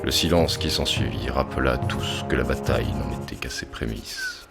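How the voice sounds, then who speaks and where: Male actor as fantasy army general, extremely low voice, grave siege account over loud music. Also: moderate pace, vocal fry. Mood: grave, weary. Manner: grave, literary. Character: male war general recounting a grim siege. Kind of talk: solemn scripted audio drama narration.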